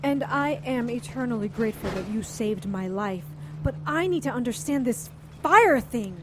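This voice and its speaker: A woman's voice: a little high, expressive, normal speed, fairly bright tone. An actress playing an earnest, anxious woman with a dangerous fire power in an audio drama. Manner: earnest, questioning. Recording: road noise behind voice. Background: car engine ambience.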